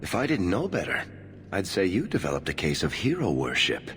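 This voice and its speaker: A man playing a wry, smooth-talking storyteller rogue in a video game, with a conversational delivery. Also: sits low, huge pitch swings, brisk, a little hiss or hum, heavily acted. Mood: wry, wary. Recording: loud game music behind.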